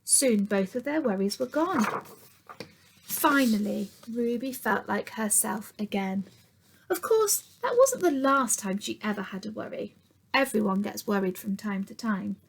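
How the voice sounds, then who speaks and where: A woman reading a picture book aloud like a teacher to young children, expressive, sometimes dropping to hushed tones and putting questions to her listeners. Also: mid-range voice, unhurried, gravelly, breathy edge, low-quality recording. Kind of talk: children's storytime read-aloud.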